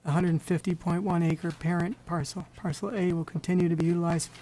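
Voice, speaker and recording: An American man with a slightly hoarse voice, chairing a public board meeting in an informal, halting way. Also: on the high side, flat delivery, dark tone, a little hiss or hum, poor audio. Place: town board meeting room.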